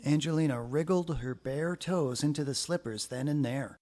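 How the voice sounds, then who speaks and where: A man narrating a children's story aloud in an expressive, deliberate way with dramatic phrasing. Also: fairly high pitch.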